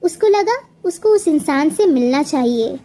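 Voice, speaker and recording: A woman's voice: high voice, lively intonation, measured pace, mellow. A woman narrating a scripted moral story as a storyteller.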